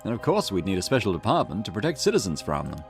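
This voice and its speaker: A man's voice: on the low side, wildly swooping pitch, fast talker, vocal fry, heavily acted. A man voicing an eager, cheeky junior police detective in a visual novel, chatty and teasing. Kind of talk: acted visual novel dialogue. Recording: music behind the voice.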